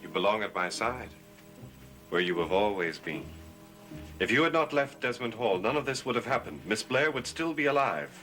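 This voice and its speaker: An actor playing the commanding, tormented master of a cursed manor in a 1960s gothic soap opera, stern and theatrical. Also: male voice, lowish voice, very animated, moderate pace, sharp tone, faint music behind. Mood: commanding, urgent.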